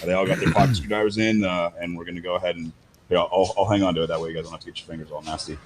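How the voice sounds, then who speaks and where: A man's voice: deep voice, steady tone, very fast. A man hosting an informal on-location segment, talking to a group as he records. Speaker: podcast host recording a segment at an auto shop. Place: auto repair shop. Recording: noisy on-location clip with clicks.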